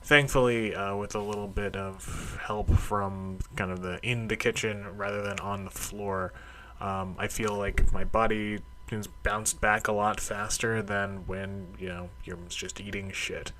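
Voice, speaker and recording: A man's voice: on the low side, flat delivery, a little slow, a bit bright, slight background noise. A middle-aged man talking casually in a solo audio diary, reflective and rambling, with a self-deprecating streak. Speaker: karate practitioner keeping a training log.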